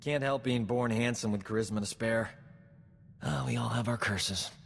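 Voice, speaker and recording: An actor playing a cocky, flirtatious roguish combat medic in a video game, with a smug, suave, breezy delivery. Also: male voice, mid-range voice, normal speed, vocal fry, big-room sound. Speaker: combat medic companion character. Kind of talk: video game dialogue acting.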